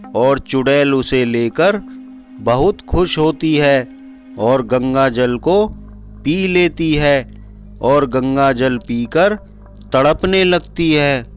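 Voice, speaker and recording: A man narrating a scripted horror story for a cartoon. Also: medium pitch. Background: background music.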